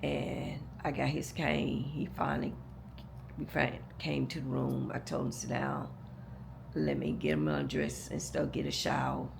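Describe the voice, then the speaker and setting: A hoarse woman, telling a long story to her viewers in a casual, rambling way, with many restarts. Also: extremely low pitch, lively intonation, unhurried, noisy background. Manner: rambling, repeats and restarts, talks straight to "y'all". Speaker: vlogger.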